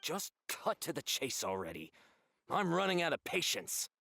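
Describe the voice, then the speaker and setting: A voice actor playing an impatient, gruff mercenary henchman in a game, with a gravelly voice. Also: medium pitch, very animated, moderate pace, full character voice. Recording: game audio with loud music.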